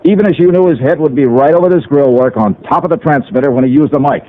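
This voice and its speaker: A man's voice: on the high side, quick pace, a little hiss or hum, close to an everyday voice. An actor playing a confident, sardonic male insurance investigator who narrates a 1950s radio drama in a wry, assured way. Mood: confronting. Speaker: lead and narrator. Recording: tinny lo-fi old radio recording.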